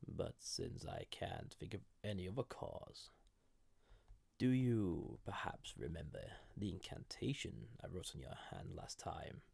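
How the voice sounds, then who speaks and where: A man voicing an ancient banished villain entity in an intimate audio roleplay, soft-spoken and formal, with a gravelly, husky voice that often drops to a whisper. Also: deep voice, wildly swooping pitch, dry recording, heavily acted. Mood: cautious, instructing. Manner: slow, halting, gently condescending, formal. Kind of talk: intimate scripted audio roleplay addressed to listener.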